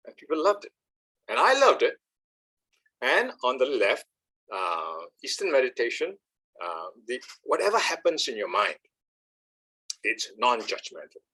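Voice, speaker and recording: A man, giving an animated, emphatic talk on meditation and often checking in with "all right?". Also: mid-range voice, a little slow, pauses often, husky. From Malaysia. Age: 70s. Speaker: speaker on meditation. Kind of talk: talk with slides.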